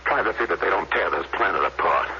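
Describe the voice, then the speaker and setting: An actor playing an idealistic, doomed renegade space explorer in an earnest, intense 1950s radio drama style. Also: male voice, deep voice, lively intonation, quick pace, sharp tone, exaggerated voice. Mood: earnest, wistful. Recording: dull, slightly noisy vintage radio recording.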